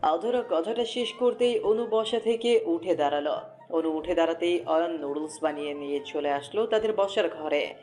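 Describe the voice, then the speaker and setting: A woman's voice: lowish voice, moderate pace, dark tone. A woman narrating a scripted romantic story as a storyteller. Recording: music bed behind voice.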